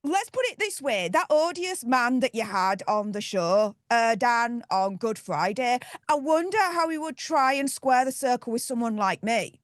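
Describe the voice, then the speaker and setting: A woman's voice: on the high side, expressive, moderate pace, hardly any pauses, no room sound, clean studio sound. A woman with a Yorkshire accent speaking bluntly and indignantly as a guest on a political panel. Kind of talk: heated political panel talk.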